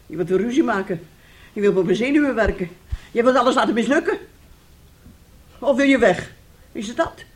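An actress as a bitter, nagging middle-aged wife. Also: female voice, a little high, animated, a little fast, long pauses, close to an everyday voice. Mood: reproachful. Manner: reproachful, sarcastic, theatrical. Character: bitter, nagging, mocking wife of a failed composer. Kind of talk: radio drama acting.

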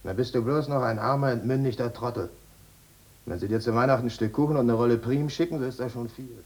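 Male actor as streetwise cellmate, mid-pitched, scornful and plain-spoken, lecturing like an old hand of the prison, 1950s radio drama. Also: quick pace, a little mellow, close to an everyday voice. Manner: blunt, mocking, colloquial.

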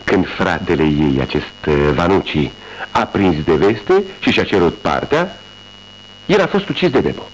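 Brief: a calm, wry male detective, very low voice with lively melody, faint hum under the radio drama take. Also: poor audio. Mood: explaining. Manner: measured, explaining deductions step by step. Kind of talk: 1980s radio drama acting.

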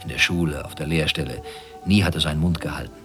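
A man playing a smug, menacing former secret-police interrogator in a radio play, insinuating and deliberate. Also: a bass voice, over music. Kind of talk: German radio play acting.

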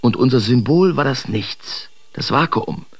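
An actor playing a brash, mocking male Dadaist artist, reciting theatrically in an expressive radio drama. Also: mid-range voice, unhurried, breathy edge. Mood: defiant, scornful.

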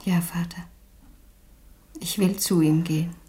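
A woman playing a young, frightened, heartbroken emperor's daughter in a radio drama, soft and plaintive. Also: fairly low pitch, fairly even intonation, measured pace, close to an everyday voice. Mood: fearful whisper. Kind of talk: theatrical radio drama acting.

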